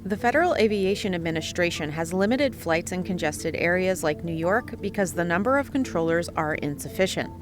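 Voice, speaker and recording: A woman's voice: lowish voice, lively intonation, fairly quick, hardly any pauses, music in the background. A woman reading scripted narration for a news documentary report.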